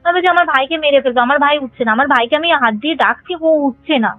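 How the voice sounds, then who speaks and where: A woman's voice: high voice, fast talker, dry recording. A woman caller telling of a haunting over the phone, earnest and scared, rambling as she recalls. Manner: fearful, earnest, rambling retelling. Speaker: caller telling a ghost experience. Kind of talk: phone-in storytelling. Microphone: phone call. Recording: tinny phone line with faint music.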